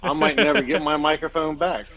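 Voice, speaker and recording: A man's voice: a little high, fairly even intonation, fairly quick, sharp tone, slight background noise. A man in casual livestream chat as a guest, speaking in an informal, chummy, enthusiastic way.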